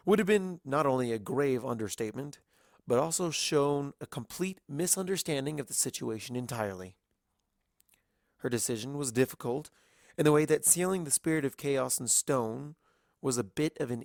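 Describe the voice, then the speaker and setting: Gravelly male story narrator. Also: medium pitch, a little mellow, dry recording, studio quality. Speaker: fan fiction reader, narrator.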